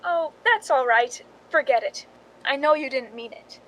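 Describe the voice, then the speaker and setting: A woman's voice: measured pace, fairly bright tone. A woman playing a cool, calculating young Hollywood stand-in who tells her story drily in a suspense radio drama. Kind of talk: 1940s-style suspense radio drama acting. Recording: noisy recording with low rumble.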